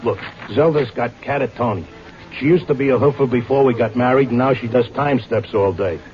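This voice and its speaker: A man's voice: medium pitch, a little fast, slight background noise, natural voice. An actor playing a stubborn, devoted horse-race handicapper in a vintage radio drama, talking in slangy wise-guy style. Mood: insistent. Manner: slangy, streetwise Broadway wise-guy talk. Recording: dull old radio recording with music.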